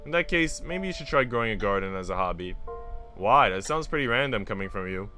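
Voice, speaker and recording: A man's voice: fairly low pitch, expressive, a little fast, sharp tone. A man voicing a friendly young woman chatting casually and teasingly in visual novel dialogue, with music behind.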